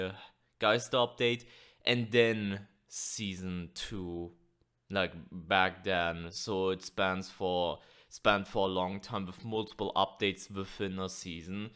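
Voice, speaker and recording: Sick young man, bright crisp voice. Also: lowish voice. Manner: casual, filler-heavy, swears. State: sick, losing his voice. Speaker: gaming channel host. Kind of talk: casual video commentary.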